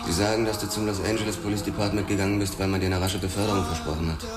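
Actor as very deep-voiced, laconic ex-boxer cop. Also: male voice, level tone, racing, heavy background noise, close to an everyday voice. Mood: guarded, dry. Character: laconic male ex-boxer cop narrating his past. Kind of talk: scripted first-person crime radio drama narration.

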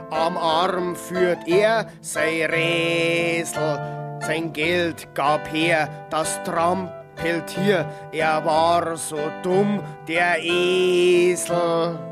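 An actress playing a wry ballad narrator in a radio play, delivering mocking rhymes. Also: female voice, lowish voice, little pitch movement, extremely slow. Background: plucked guitar music.

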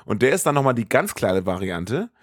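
A man's voice: mid-range voice, big pitch swings, a little fast, no echo, studio quality. A man co-hosting a podcast in casual chat, playful and rambling, often interrupting himself. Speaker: podcast co-host.

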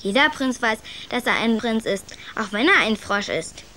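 Cheeky, high-voiced young listener. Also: female voice, expressive, normal speed, bright, forceful tone, a little hiss or hum. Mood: self-assured. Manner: inquisitive, matter-of-fact, cheeky. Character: curious, self-assured young listener questioning a fairy tale. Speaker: listener interrupting the storyteller.

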